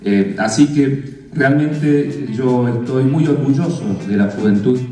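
An Argentine man in his fifties gives an opening speech with a mellow, mid-pitched voice. It booms with heavy echo from far off in a hall, with music behind. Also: slow pace. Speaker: mayor giving opening speech. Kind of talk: public opening address. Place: stage of a community hall. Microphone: handheld microphone, heard from afar. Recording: very echoey hall PA, distant, music.

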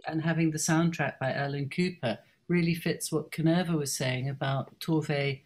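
A woman's voice: sits low, steady tone, normal speed, darkish tone. A woman publisher giving an informal comment as a panellist on a video call. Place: indoor room on a video call.

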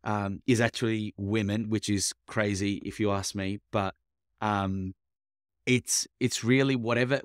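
A man's voice: lowish voice, no echo, clean studio sound. A young man who grew up in Italy, a coach talking casually as a podcast guest, with many fillers and restarts. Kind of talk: casual interview chat.

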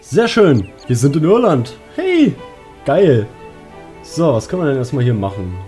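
A man's voice: medium pitch, big pitch swings, unhurried, warm tone. A man giving casual gaming commentary, thinking aloud colloquially. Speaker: let's play host. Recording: game music behind.

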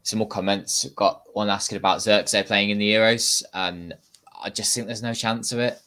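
Young man, lowish voice, quick chatty football talk, video call, reads viewer comments. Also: fairly even intonation, pushed and bright. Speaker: co-host reading viewer comments.

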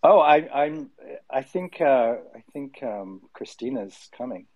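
A man speaking into a close, dry mic on an audio call, his pitch sitting in an ordinary middle range. He jokes around with halting fillers, then reads scene directions from a script at a steady pace. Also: dry recording, lo-fi sound. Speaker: showrunner and host reading a script. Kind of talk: casual chat, then reading scene directions.

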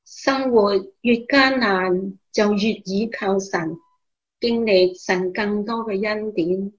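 A woman with a Hong Kong Cantonese accent playing Mary, a gentle, devout mother, solemnly giving testimony in a Christmas radio play. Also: mid-range voice. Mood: grateful, solemn. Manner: slow, solemn, earnest. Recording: dull lo-fi recording.

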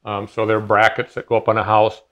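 A man's voice: on the low side, monotone, a little fast, a bit bright, lo-fi sound. A man in his fifties explaining a CNC machine in casual conversation, talking in a loose, rambling way with many fillers and restarts, seated in a large garage workshop.

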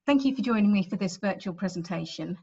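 A woman's voice: mid-range voice, expressive, quick pace, dark tone, slightly rough. A middle-aged woman with an English accent giving a virtual book presentation from home, talking conversationally with frequent ums and self-corrections. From England. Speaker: author giving a library talk. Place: home room, indoors. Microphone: webcam on a video call. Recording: slightly dull webcam audio.

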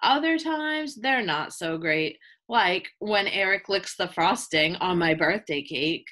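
A woman reading a children's picture book aloud like a teacher, with expressive delivery aimed at young listeners. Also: lowish voice, slow. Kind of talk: children's read-aloud, scripted narration.

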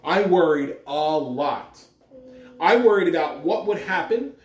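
A man's voice: on the high side, lively intonation, unhurried, distant mic. A middle-aged man speaking expressively to children, like a school announcer reading aloud. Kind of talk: morning announcements and children's story read-aloud. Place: living room, indoors. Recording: roomy, recorded away from the mic.

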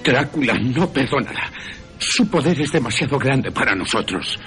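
A man's voice: medium pitch, lively intonation, normal speed, a bit bright, faint music behind, natural voice. An actor playing a frantic, pleading madman, a vampire's fawning servant, in a radio drama. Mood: fearful defiance.

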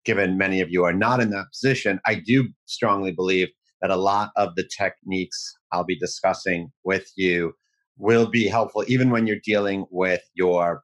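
Brief: man, 40s, deep voice, measured mentor presenting on a video call. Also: a bit bright, rough recording. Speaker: mentor giving a talk. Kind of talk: online presentation.

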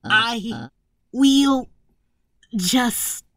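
A woman's voice: on the high side, expressive, drawn-out words, a bit bright, no room sound, studio quality, heavily acted. A woman voicing an earnest, self-important young male bird in a video game fandub. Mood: flustered. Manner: grandiose, earnest. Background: clear music.

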